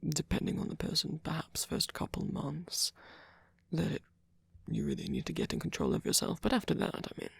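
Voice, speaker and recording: A man playing a gentle, centuries-old vampire boyfriend in an ASMR roleplay, speaking softly in a breathy, husky half-whisper with a hesitant, comforting manner. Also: a little high, huge pitch swings, normal speed, dry recording, heavily acted. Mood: earnest, reassuring. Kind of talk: ASMR roleplay, soft intimate monologue.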